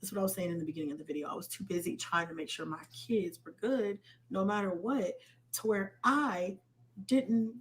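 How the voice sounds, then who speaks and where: A woman's voice: mid-range voice, brisk, poor audio. A woman video blogger talking in a personal, reflective storytelling style.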